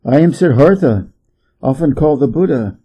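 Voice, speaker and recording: A man voicing a huge, serene Buddha in a storybook, speaking with calm, measured authority and gentle admonishment. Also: a little high, breathy edge, muffled recording. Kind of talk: storybook character voice.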